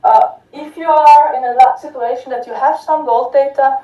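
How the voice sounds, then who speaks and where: A woman's voice: sits high, fairly even intonation, normal speed. A woman giving an academic conference talk, with many fillers and restarts, often checking in with "right?". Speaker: conference speaker. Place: lecture hall. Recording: distant echoey lo-fi hall recording.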